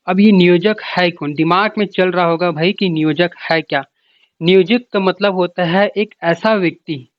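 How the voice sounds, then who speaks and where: High-pitched man teaching, dull lo-fi sound. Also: dry recording. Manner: repetitive, asks and answers own questions. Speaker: exam coaching teacher. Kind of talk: explanatory lecture, informal.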